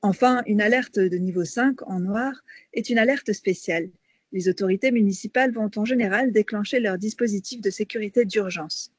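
A woman's voice: mid-range voice, normal speed, husky, dry recording. A woman co-hosting a radio magazine, presenting information and putting questions as an interviewer. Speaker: radio co-host.

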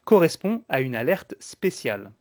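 A man co-hosting a radio information magazine, explaining things in a measured, presenter-like way. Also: mid-range voice, very animated, darkish tone, no room sound, studio quality. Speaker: radio co-host.

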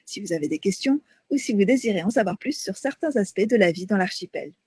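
A woman presents on a radio magazine. Her voice is husky and sits at a medium pitch. The recording is dry and close, with no noticeable room sound. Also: lively intonation, quick pace, darkish tone, no room sound. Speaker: radio co-host.

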